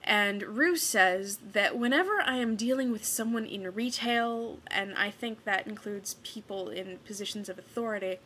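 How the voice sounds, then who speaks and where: A bright-voiced young woman from Kansas reads a story aloud, then drifts into casual chat. She fills pauses with ums and restarts. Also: mid-range voice, slight background noise. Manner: chatty with hesitant fillers. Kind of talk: casual voice blog, reading a book aloud.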